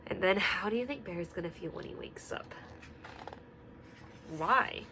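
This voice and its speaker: A young woman teacher reading a picture book aloud and asking the children gentle questions, sometimes dropping to a whisper. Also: medium pitch, expressive, measured pace, background noise. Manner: drops to a whisper at quiet parts.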